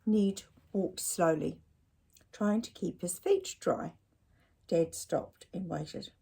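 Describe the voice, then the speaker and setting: A woman's voice: lowish voice, slow, vocal fry, warm tone, husky. A woman reading a children's picture book aloud expressively, with chatty asides and questions to young listeners.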